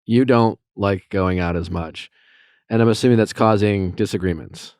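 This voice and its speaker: A man's voice: lowish voice, normal speed, a little mellow, smooth, no room sound, studio quality. A man hosting a podcast who talks callers through their disagreement, summing up each side and steering them straight to the point. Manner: direct, summarizing, steering the talk. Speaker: podcast host. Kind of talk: casual mediation chat.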